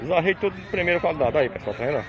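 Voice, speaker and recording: A man's voice: on the high side, animated, very fast. A man with a Northeastern Brazilian accent, chatting casually as a vlogger outdoors and peppering his commentary with tag questions. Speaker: vlogger touring a livestock fair. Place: outdoors at a livestock fair. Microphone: handheld phone behind the camera. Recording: busy outdoor crowd behind voice.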